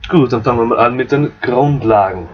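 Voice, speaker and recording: A man with an Austrian accent walking viewers through a game map editor, relaxed and explaining step by step. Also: medium pitch, fairly quick, lo-fi sound, a little hiss or hum. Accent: Austrian German. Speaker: modding tutorial host. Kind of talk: screen-recorded software tutorial.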